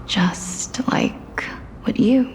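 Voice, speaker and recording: Slow, echoing, serene female spirit. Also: in a whisper. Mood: quietly probing. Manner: calm, hushed, unhurried. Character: serene, melancholy female death spirit, quietly knowing. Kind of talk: video game voice acting. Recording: long echoing reverb, faint ambience.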